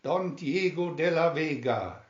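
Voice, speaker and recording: A man voicing a rotund, eager, officious army sergeant in a storybook, loud and exclaiming. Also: on the high side, lively intonation, measured pace. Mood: excited, friendly. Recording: roomy, dull home recording.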